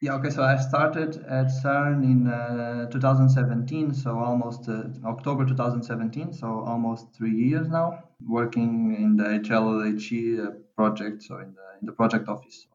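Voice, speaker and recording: Casting: young Portuguese-accented man, mid-pitch, halting and laughing, muffled lo-fi sound. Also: steady tone, a little mellow. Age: young adult. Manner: hesitant, repeats words, laughs often. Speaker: guest. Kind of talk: casual interview talk. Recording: muffled lo-fi audio.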